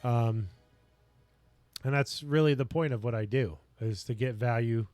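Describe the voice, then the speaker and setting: A man chatting casually on his home livestream in a basement office, rambling and earnest with lots of fillers, his voice getting a little raspy. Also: medium pitch, a little slow, a little mellow, dry recording, faint music behind. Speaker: streamer and lifestyle coach.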